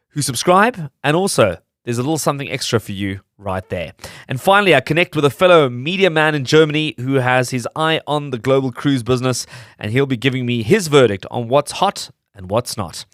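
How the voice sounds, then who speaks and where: A man's voice: medium pitch, expressive, brisk, fairly bright tone, no room sound. Man hosting, dry studio sound. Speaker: radio show host. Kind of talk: scripted narration to listeners.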